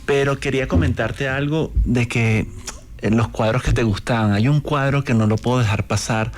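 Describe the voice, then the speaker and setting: A man from Venezuela, a painter invited onto a radio show, speaking earnestly and haltingly with repeated words. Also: medium pitch. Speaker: guest painter. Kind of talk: radio interview.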